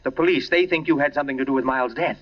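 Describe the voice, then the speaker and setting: A man's voice: mid-range voice, very fast, natural voice. An actor playing an earnest, overwrought man in a 1940s radio mystery, agitated and stammering in disbelief. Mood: anxious, pleading. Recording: dull, lo-fi 1940s radio recording.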